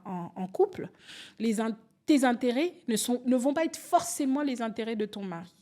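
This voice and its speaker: A woman in her forties, from Angola, talking as a podcast guest. Her voice sits a little on the higher side, and she tells stories animatedly, slipping into quoted voices. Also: normal speed. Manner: animated, storytelling, restarts and acts out quotes. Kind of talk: candid conversational interview.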